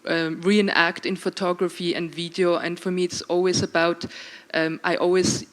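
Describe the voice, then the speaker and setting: A woman artist from Ukraine, raised in Switzerland, speaking calmly and thoughtfully on a panel about her work. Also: fairly low pitch, moderate pace. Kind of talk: reflective panel talk. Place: panel discussion at an art event venue.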